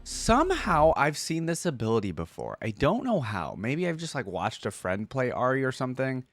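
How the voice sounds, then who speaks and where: A man's voice: medium pitch, huge pitch swings, normal speed. Male reaction host, informal gaming commentary, thinks aloud, faint music bed. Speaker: reaction video host, gamer. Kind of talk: casual game reaction commentary.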